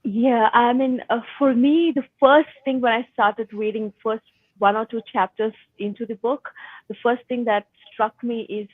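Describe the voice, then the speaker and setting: A woman asking an author a question in a halting way, searching for words, over a tinny phone-like line. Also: a little high, fairly even intonation, no echo. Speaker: book discussion guest asking the author questions. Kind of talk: casual discussion question.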